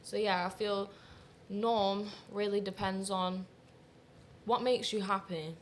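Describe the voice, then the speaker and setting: A woman's voice: mid-range voice, fairly even intonation, slow, slight background noise. A confident woman, speaking candidly and laughing easily as an interview guest. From Manchester, England. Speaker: guest, professional dominatrix. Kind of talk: casual interview chat.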